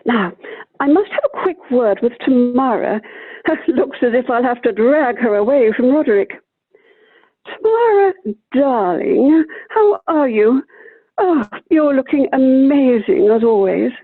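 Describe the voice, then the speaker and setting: A woman's voice: high-pitched, expressive, husky, full character voice. A woman playing a gushing, theatrical widow and amateur actress in a radio play, with affected, effusive delivery. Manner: affected, effusive, drawn-out darlings. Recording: tinny, phone-like video call line.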